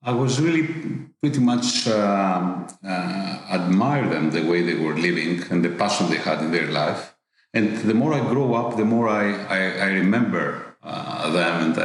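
Halting Greek-accented man in an echoey room. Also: low-pitched, fairly bright tone. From Greece. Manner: halting, many fillers and repeated words. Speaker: business executive guest. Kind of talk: interview answers.

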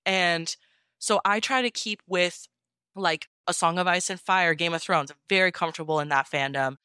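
A woman's voice: lowish voice, moderate pace, pushed and bright, clear, resonant tone, dry recording, clean studio sound. A woman being interviewed, chatting informally and explaining things step by step in a casual way. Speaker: podfic narrator being interviewed.

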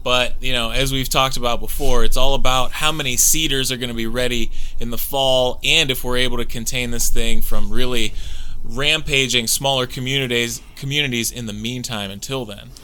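Young man, podcast co-host, laid-back and jokey, very bright tone, casual chat. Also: mid-range voice, fairly even intonation, normal speed, smooth, poor audio.

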